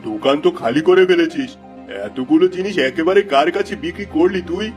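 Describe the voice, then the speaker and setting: A man's voice: on the high side, expressive, quick pace, soft background music, natural voice. A man voicing an exasperated, suspicious shopkeeper father in a cartoon, melodramatic and scolding. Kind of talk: Bengali cartoon fable voice acting.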